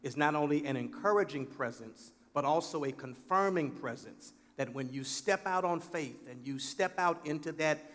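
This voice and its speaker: Man, pastor-host, slightly high voice, measured preacher cadence, reverberant hall sound. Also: fairly bright tone. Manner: measured, preacherly phrasing with fillers. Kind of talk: church panel interview.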